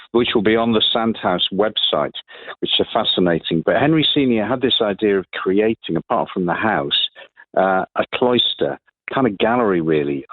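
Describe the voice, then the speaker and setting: A man being interviewed on the radio, talking reflectively and haltingly, often rephrasing as he goes. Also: on the low side, no room sound. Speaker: interview guest, playwright. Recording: narrow, phone-like radio sound.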